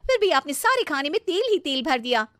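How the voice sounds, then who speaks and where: A haughty young model wife acted by a woman in an animated moral story. Her voice is very high and dry, snappish and indignant, sprinkled with English phrases. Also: a little fast, no echo. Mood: irritated. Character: haughty young fashion model daughter-in-law, spoiled and snappish. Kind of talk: animated storybook character acting.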